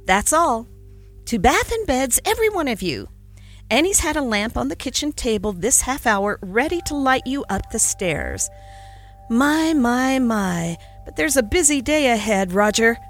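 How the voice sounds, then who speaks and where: A kindly old doctor, voiced by a woman reading a storybook speaks with slow, fond warmth. His lines rise and fall in a lively way. Soft music plays underneath. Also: on the high side, heavily acted. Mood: fond scolding. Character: kindly older male country doctor, warm and gentle.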